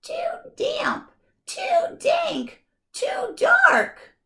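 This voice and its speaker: A woman voicing a tiny timid mouse in a thin squeaky voice, fretful and exclaiming, for a children's storybook. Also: wildly swooping pitch, drawn-out words, bright, forceful tone, full character voice. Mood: fretful, alarmed. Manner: fretful, exclaiming in short bursts. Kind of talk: children's storybook read-aloud.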